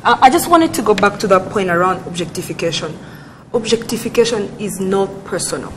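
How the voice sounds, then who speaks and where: A woman's voice: medium pitch, animated, fairly quick, slight background noise. Earnest young woman making an argument. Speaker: panel guest. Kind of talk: panel discussion.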